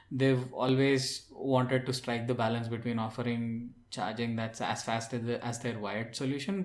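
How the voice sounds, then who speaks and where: Man explaining tech in an echoey room. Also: medium pitch. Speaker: tech podcast co-host. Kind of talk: casual tech discussion. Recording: roomy, echoing sound.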